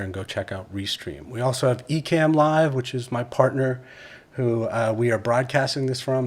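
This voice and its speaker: Casual male podcast host, mid-pitched voice. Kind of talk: casual live interview chat with ad reads.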